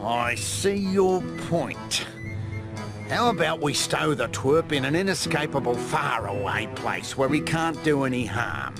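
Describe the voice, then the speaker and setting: An actor with an Australian accent voicing a smug, sneering mandrill villain in a video game, throwing florid, mocking insults. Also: male voice, mid-range voice, lively intonation, measured pace. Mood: scheming, mocking. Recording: voice over game music.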